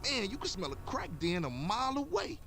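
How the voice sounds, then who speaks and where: An actor playing a brash young street gang member in a video game cutscene, barking orders in loud, profane slang. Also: male voice, high-pitched, wildly swooping pitch, fairly quick, creaky, exaggerated voice. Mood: bossy. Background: game music.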